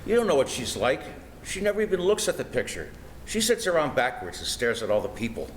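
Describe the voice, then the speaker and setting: Man as whiny, sarcastic radio-comedy teen brother; exasperated, animated, noisy recording. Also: medium pitch, a little fast, close to an everyday voice. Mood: sarcastic grumbling. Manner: whining, exasperated. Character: grumbling, sarcastic teenage older brother. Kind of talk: old-time radio comedy acting. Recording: echoey room with clear background noise.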